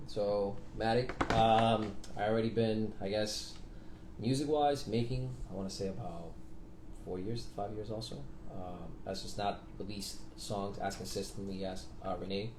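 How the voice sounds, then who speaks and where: A man's voice: fairly low pitch, normal speed. A man in his twenties from Venezuela, a native Spanish speaker with fluent American-sounding English, chatting casually as a guest artist. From Venezuela, moved to Florida around fifteen. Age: 20s. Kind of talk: casual chat. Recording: echoey room with steady noise.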